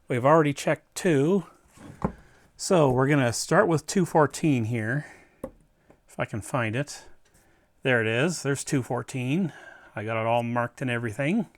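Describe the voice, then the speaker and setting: A man hosting a repair video, talking casually to the viewer and thinking aloud as he works through a hands-on job. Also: medium pitch. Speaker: host of an electronics repair video.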